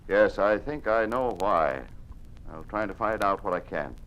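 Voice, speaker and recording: An actor playing a weary, burdened government official in an old-time radio drama, with a grave, measured delivery. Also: male voice, lowish voice, lively intonation, fairly bright tone, poor audio. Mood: tense, grave. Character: weary, burdened official resisting a tyrannical regime.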